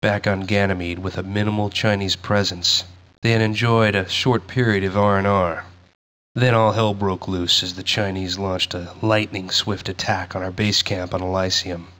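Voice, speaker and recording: A man playing a grave American soldier narrating a war campaign in a solemn, measured, scripted style for a video game. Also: sits low, steady tone, a bit bright. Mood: somber. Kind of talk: scripted dramatic cutscene narration.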